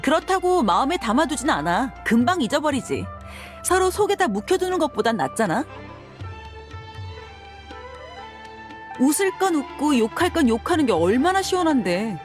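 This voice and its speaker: A woman's voice: sits high, sing-song, brisk, faint music behind. A woman playing a tough, frank and confident mercenary in a video game, with a blunt, assertive way of talking. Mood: frank, breezy.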